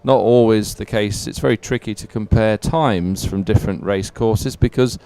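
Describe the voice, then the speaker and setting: A man's voice: fairly low pitch, normal speed, dark tone, clean tone, no room sound. A man giving live, unscripted sports commentary in a chatty, hesitant, explanatory way.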